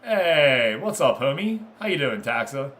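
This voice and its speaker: A man's voice: medium pitch, very animated, measured pace, a bit bright. A man doing live, informal play-by-play of a football game, chatting casually with viewers between passes. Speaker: streamer commentating a football management game.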